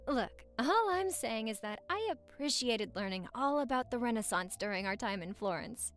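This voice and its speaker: High, lively, playful young wife played by a woman. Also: moderate pace, faint music behind. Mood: tender, teasing.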